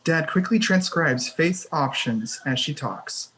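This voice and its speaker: Guy reading script directions at a table read, voice a little on the high side. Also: lively intonation, slow pace, fairly bright tone, a little hiss or hum, low-quality recording. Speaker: stage-direction narrator.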